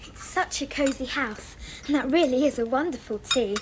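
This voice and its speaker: A woman's voice: high-pitched, normal speed, noisy background, close to an everyday voice. A female performer playing a curious, frank young English girl in a radio drama, eager and polite with a British accent. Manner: eager, polite, plain-spoken.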